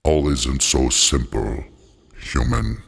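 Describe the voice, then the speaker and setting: A man voicing an ancient otherworldly being, solemn, cryptic and measured, with a gravelly, husky voice, in an audiobook. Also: a bass voice, very animated, voice pushed down, heavily acted. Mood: solemn, instructive. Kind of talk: audiobook character voice.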